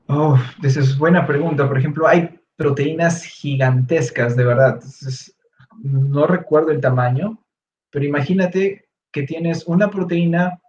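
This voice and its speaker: A young man with a Peruvian Spanish accent, relaxed and explanatory, answering questions in an online talk with many fillers and restarts. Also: a little high, slight background noise. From Peru. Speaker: guest speaker, researcher. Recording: echoey room, dull lo-fi audio.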